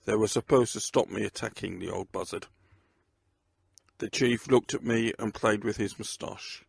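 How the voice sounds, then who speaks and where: A man's voice: fairly low pitch, fairly even intonation, normal speed, vocal fry, slightly rough, dry recording. A man reading scripted first-person narration of a crime story.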